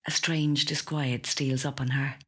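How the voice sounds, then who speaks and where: Story narrator, mid-pitched and husky, for measured, hushed literary prose. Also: little pitch movement. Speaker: actor reading a short story.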